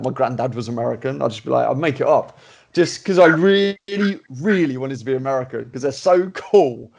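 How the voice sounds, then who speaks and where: A man's voice: medium pitch, creaky, darkish tone, highs cut off. A young man from England with a southern English accent, hosting a podcast in a joking, casual way with stumbling restarts. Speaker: podcast host, actor. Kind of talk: casual chat.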